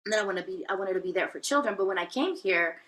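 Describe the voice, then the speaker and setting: Bright-voiced woman, hesitant. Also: mid-range voice, lively intonation, very fast. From Venezuela. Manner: hesitant, many fillers and restarts, earnest. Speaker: guest, spiritual healer. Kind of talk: reflective personal interview talk.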